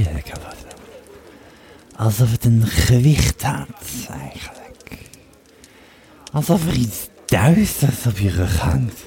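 Man acting a very deep, haunted Roman legionary. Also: heavily acted. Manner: halting, repeating words, hushed at times. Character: weary, troubled Roman legionary recounting his tale over drink. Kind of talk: audio drama monologue and narration.

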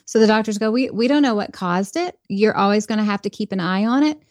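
A woman's voice: mid-range voice, quick pace, darkish tone, no room sound, studio quality. A woman guest on a podcast interview, talking earnestly and reflectively about faith and leadership. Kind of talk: conversational interview.

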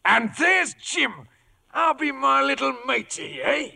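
A man's voice: extremely high pitch, sing-song, slow pace, creaky, sharp tone, heavily acted. A man playing a sly one-legged pirate captain, oily and mock-respectful, in sailor dialect in a 1950s radio drama. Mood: wheedling.

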